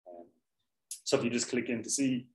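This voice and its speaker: A man's voice: medium pitch, moderate pace. A young man giving an informal university lecture in a chatty, self-correcting way, heard through a laptop mic on the desk. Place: lecture hall. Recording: echoey lecture hall.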